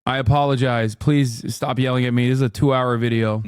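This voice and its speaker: A man's voice: medium pitch, steady tone, a little fast, clear, resonant tone, no room sound. A man from the United States giving casual, rambling live-stream commentary, sweary and exasperated. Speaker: streamer reacting to a video.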